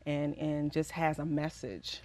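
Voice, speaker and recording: A woman recording artist with a raspy voice, answering interview questions casually and reflectively, often pausing with ums. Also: low-pitched, steady tone, crowd noise. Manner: reflective, pauses with frequent ums.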